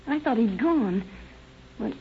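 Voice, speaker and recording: A woman's voice: on the high side, animated, takes it slowly. Casting: earnest, courteous store clerk in her youth, vintage radio drama, tinny sound, faint noise. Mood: worried. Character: polite, anxious young shop girl, firm but apologetic. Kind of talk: 1930s radio crime drama acting. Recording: tinny, noisy old radio recording.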